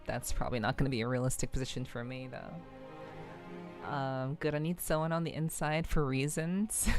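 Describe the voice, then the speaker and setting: A woman chatting casually on a livestream as a VTuber, rambling and halting, often repeating her phrases. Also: low voice, creaky. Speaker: VTuber streamer. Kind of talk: casual livestream chat with viewers. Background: faint music.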